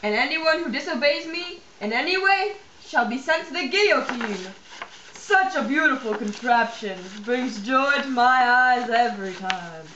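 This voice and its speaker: A woman puts on a gloating, scheming revolutionary tyrant for a homemade puppet show. The voice is fairly high, in an echoey room with a faint noise behind it. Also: lively intonation, unhurried, sharp tone, full character voice. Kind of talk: amateur school puppet show acting. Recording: echoey, dull, slightly noisy amateur recording.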